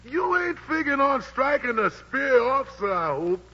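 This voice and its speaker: A man's voice: extremely high pitch, sing-song, moderate pace, pushed and bright, heavily acted. An actor in a 1940s radio drama playing a bullying army sergeant who mocks his rival. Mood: mocking. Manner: mocking, swaggering. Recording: muffled 1940s radio broadcast with reverb.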